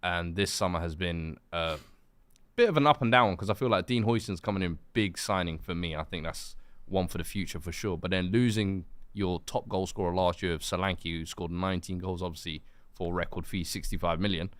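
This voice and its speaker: A man's voice: sits low, fairly quick, no echo. A man co-hosting a sports call-in show, chatty and curious, asking guests about their club. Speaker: co-host. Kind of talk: casual football chat.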